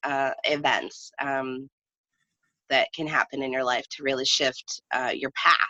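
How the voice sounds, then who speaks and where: Low, bright-voiced woman in an echo-free, dull recording. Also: steady tone, a little slow. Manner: hesitant, thoughtful, searching for words. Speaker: interview guest. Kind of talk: casual reflective conversation. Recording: slightly dull, echoey room audio.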